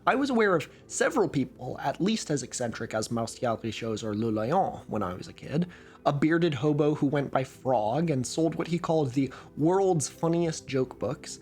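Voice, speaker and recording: A man from Oregon reads a scripted documentary narration with a wry, conversational touch. His pitch rises and falls a great deal as he tells the story. A faint music bed sits under his voice. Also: a little mellow. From Oregon, USA.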